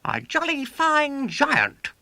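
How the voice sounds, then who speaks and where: A boastful little schoolboy showman from a children's storybook. The voice is shrill and far up in pitch, swinging wildly with scornful, emphatic bragging. The sound is dry. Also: wildly swooping pitch, slow, pushed and bright, dry recording, full character voice. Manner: exclamatory, bragging, emphatic delivery.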